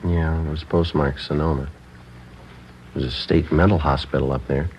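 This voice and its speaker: A man's voice: rumbling low, steady tone, normal speed, a little mellow, a little hiss or hum. An actor playing a terse male police sergeant who questions witnesses and narrates the case in a 1950s radio crime drama. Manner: clipped, matter-of-fact police questioning. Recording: dull old radio broadcast with music.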